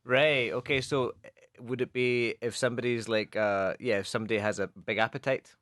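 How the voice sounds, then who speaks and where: A man co-hosting a casual bilingual football podcast, chatty and quick to laugh, with lots of hesitant restarts. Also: medium pitch, steady tone, fairly bright tone, no echo, clean studio sound. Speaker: co-host teaching English.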